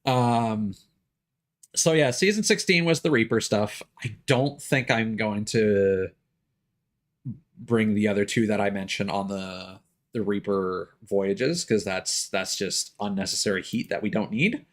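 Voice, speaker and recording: A man's voice: lowish voice, unhurried. A man working as a game streamer, chatting casually and explaining things in an informal way with some swearing. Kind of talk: casual livestream chat.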